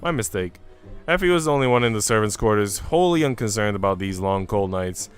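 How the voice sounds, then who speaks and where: A man streaming a visual novel, reading it aloud as expressive narration and making casual asides. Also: on the low side, fast, clear, resonant tone. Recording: music playing behind the voice. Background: music.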